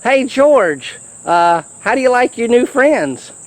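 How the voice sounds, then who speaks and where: Children's storybook reader, lively swooping pitch, slow delivery, chatty asides and exclamations. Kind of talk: children's book reading with playful asides.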